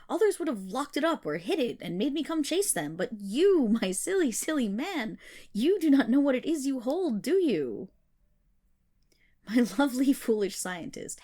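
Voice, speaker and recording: A woman playing an affectionate, teasing selkie in an ASMR roleplay, speaking softly and breathily with a lilt and fond scolding. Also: medium pitch. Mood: fond, insistent.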